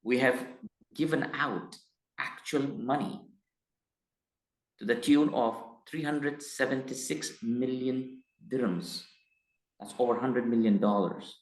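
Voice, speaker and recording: A middle-aged man from Tanzania, speaking as an interview guest in a measured, hesitant way that turns emphatic. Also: mid-range voice, steady tone. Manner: measured, hesitant with frequent uh fillers, grows emphatic. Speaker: guest, company executive. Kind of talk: interview conversation. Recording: roomy echo.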